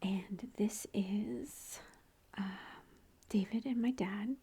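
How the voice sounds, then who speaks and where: A mother whispering softly as she shows family photos, gushing and chatty, close to the mic at home. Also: medium pitch, extremely slow, husky. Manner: soft unvoiced whisper throughout, gushing and chatty. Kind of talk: whispered ASMR photo show-and-tell.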